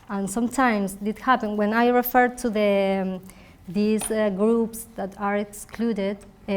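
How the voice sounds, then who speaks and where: A woman from Argentina with a Spanish accent, speaking haltingly and searching for words as she gives an academic talk. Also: medium pitch. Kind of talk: academic talk and answering questions. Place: indoor university conference room. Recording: noisy conference room recording.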